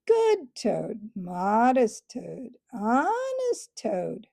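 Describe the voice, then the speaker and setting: A woman's voice: fairly high pitch, wildly swooping pitch, extremely slow, creaky, warm tone, no echo, clean studio sound, heavily acted. A woman voicing a pompous, scheming male weasel chief who makes a mocking speech in a storybook.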